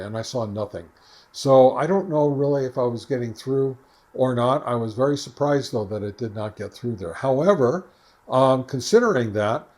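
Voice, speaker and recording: A man of average pitch talks casually in his home radio shack. His chat rambles and stays playful, with plenty of ums and uhs along the way. It sounds like an easygoing hobby vlog. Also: a little mellow. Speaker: ham radio video host.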